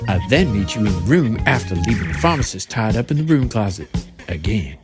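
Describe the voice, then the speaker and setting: A man's voice: lowish voice, very animated, brisk, music in the background. A man playing a sassy, campy contortionist schemer in a comic audio drama.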